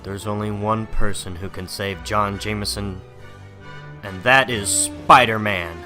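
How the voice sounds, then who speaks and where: Guy playing young superhero, earnest n cocky, urgent shouty lines, comic drama w music behind. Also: on the low side, steady tone, measured pace, pitched below the performer's own voice, natural voice. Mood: determined. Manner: urgent, exclamatory. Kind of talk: dramatic comic book reading.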